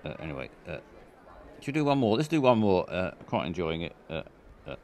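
A man's voice: deep voice, big pitch swings, a little fast, darkish tone, a faint crowd, a little hiss or hum. A man streaming live, rambling in a dry, self-mocking way while reading out viewers' chat. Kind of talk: casual live-stream commentary.

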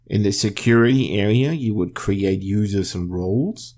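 A man presenting a software tutorial as screencast narration, explaining step by step in a measured way. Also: lowish voice.

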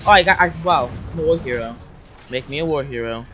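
A young man streaming a war strategy game from a desk at home, yelling at the game and putting on silly voices. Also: medium pitch, quick pace, very noisy. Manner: yelling and screeching at the game, silly mock accents. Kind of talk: excitable gaming commentary.

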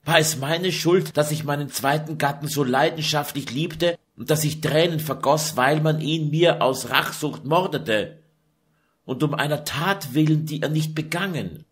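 A man's voice: fairly high pitch, unhurried, pitched above the performer's own voice, natural voice. A man voicing an eighteen-year-old grieving duchess who pleads and laments through sobs. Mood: pleading. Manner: sobbing, pleading, breathy lament.

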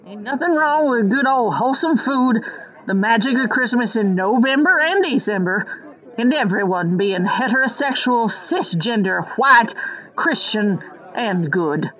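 A woman's voice: fairly high pitch, lively intonation, a little slow, a bit bright, some chatter behind, poor audio. Woman acting a preachy, folksy small-town matriarch. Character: pious, nostalgic small-town matriarch of rigid views. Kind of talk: satirical radio play acting.

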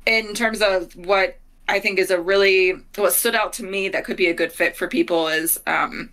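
A woman's voice: medium pitch, fairly even intonation, normal speed, fairly bright tone. A woman in her thirties or forties giving a casual spoken review in conversation. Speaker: player and reviewer. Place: small home office on a video call.

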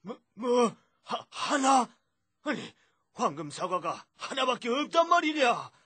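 An actor playing an anxious, stern elderly king and father in a children's puppet play, with a husky voice. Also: extremely high pitch, sing-song, takes it slowly, pushed and bright, no echo, exaggerated voice. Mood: alarmed, dismayed. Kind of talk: children's puppet theatre acting.

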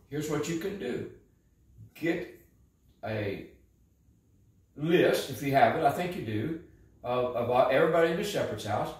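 An older man, a pastor, giving an earnest, upbeat encouraging message to his church from his living room. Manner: upbeat, earnest, preacherly with lively swings in pitch and pace. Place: living room in front of a fireplace, indoors. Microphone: camera a few feet away, no visible mic. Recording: echoey room, voice a bit far from the mic.

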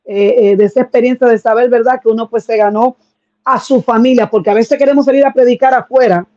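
Woman, middle-aged, pastor host, high voice, enthusiastic exclamations, dull video call audio. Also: steady tone, fast talker, mellow. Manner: enthusiastic, frequent exclamations of praise. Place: home room with flags and pictures.

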